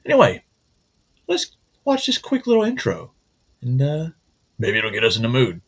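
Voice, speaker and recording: A man's voice: mid-range voice, huge pitch swings, moderate pace, breathy edge. A man hosting his own show, chatting casually and rambling, cracking jokes and correcting himself. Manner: rambling, joking, self-correcting. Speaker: host. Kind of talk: casual morning show chat. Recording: dull lo-fi sound.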